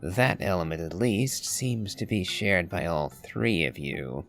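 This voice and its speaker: A man giving casual, musing, measured commentary while playing a video game. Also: deep voice, expressive, fairly bright tone. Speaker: game streamer. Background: game music.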